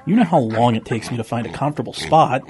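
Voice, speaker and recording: A man's voice: mid-range voice, animated, fast talker, a little mellow, close to an everyday voice. A man voicing a lazy, dry-witted male farm pig who complains sardonically in an audio drama. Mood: lazy, grumbling. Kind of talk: children's-style audio drama acting.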